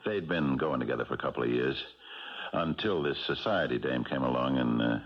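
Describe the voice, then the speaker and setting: A man playing an older, haunted retired American policeman, speaking haltingly in 1970s radio mystery style. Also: very deep voice, slightly rough. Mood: wry, hesitant. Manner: halting, hesitant, colloquial. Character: Jerry Roman, old retired policeman, wistful, haunted storyteller. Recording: dull 1970s radio broadcast, music behind.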